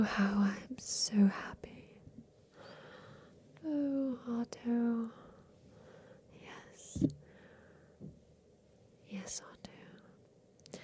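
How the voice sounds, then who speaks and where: A woman voicing a secretive, tender captive girl in a haunted attic, in a hushed, deliberate ASMR roleplay whisper that repeats phrases. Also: fairly high pitch, husky, exaggerated voice. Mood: tender, fearful.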